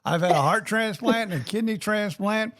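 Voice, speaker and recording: A man's voice: high voice, normal speed, fairly bright tone. A man hosting a podcast, chatty and sympathetic with his guest. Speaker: podcast host. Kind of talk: podcast interview.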